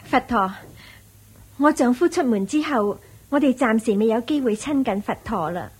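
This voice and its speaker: Radio play caring, careworn young mother, Hong Kong Cantonese accent, high voice with a breathy edge, solicitous and resigned. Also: expressive, normal speed, darkish tone, poor audio. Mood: dutiful. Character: hard-working, caring young wife and mother. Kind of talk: Cantonese-language Buddhist radio drama acting.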